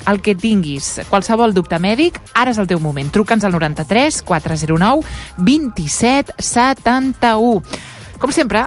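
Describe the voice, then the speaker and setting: A woman with a Catalan accent hosting a radio health show, chatting casually and laughing often. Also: lowish voice. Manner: bubbly, laughs often, trails off.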